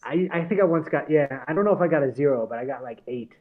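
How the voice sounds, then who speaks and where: Guest: man in his forties from the States, mid-pitched, loose anecdotal delivery full of fillers and laughs. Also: expressive, quick pace, phone-quality sound. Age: 40s. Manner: laughing storyteller with many fillers and restarts. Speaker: podcast guest. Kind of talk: casual conversation.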